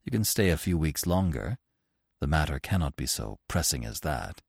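A man reading the part of a stern, reserved male author who speaks curtly and in measured phrases. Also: rumbling low, very animated, vocal fry, husky, dry recording, clean studio sound, pitched below the performer's own voice, full character voice. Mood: cool. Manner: curt, measured.

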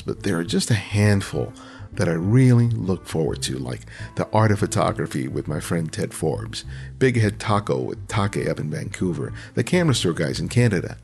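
Male podcast host, deep rough voice, animated, chatty delivery. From United States. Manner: chatty, hesitant restarts when asking questions. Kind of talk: interview podcast. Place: home studio, Southern California.

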